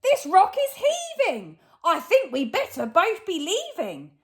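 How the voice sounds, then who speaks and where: A woman voicing Hugh, a bumbling, panicky male robber in a children's storybook, with squeaky, excitable yelps. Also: takes it slowly, heavily acted. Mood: flustered.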